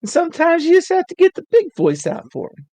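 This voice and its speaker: A woman voicing Travis, an easygoing male camp counselor who reassures others in a relaxed, soothing way during a tabletop game. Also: very high voice, fast, no room sound, exaggerated voice. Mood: reassuring. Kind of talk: tabletop role-play in character.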